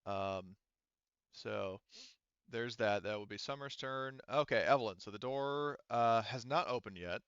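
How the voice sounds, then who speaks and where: A man's voice: medium pitch, a little slow, fairly bright tone, clear, resonant tone, dry recording. A man running a casual tabletop game as game master, informal and prone to swearing, on slightly muffled audio. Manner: informal, profane.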